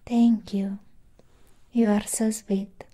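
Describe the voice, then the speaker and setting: A young woman with a thick foreign accent playing a caring, possessive android doll in soft, whispery ASMR roleplay. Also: medium pitch, flat delivery, slow pace. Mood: tender, devoted. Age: young adult.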